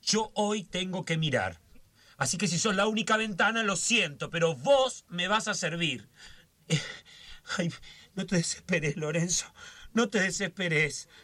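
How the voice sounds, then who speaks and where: Radio drama: histrionic, order-obsessed nervous man, highish forceful voice, fretting aloud to himself. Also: a little slow, dry recording, exaggerated voice. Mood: anxious resolve. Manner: fretful, histrionic, muttering and exclaiming.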